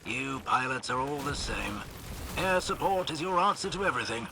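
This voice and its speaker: An actor playing a genteel, composed military scout leader in a video game, with a polite, wry manner. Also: male voice, mid-range voice, animated, normal speed, background noise, lightly acted.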